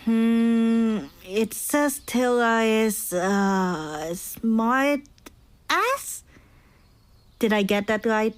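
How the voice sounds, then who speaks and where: A woman's voice: a little high, lively intonation, drawn-out words, clear, resonant tone. An actress playing a prissy, sarcastic, complaining young witch in a period audio drama, snippy and haughty. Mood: teasing.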